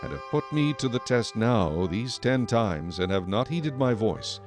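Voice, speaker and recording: A man playing a stern, majestic God in a dramatized scripture recording, solemn, authoritative and deliberate. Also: medium pitch, lively intonation. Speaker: narrator and voice of the Lord. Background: music.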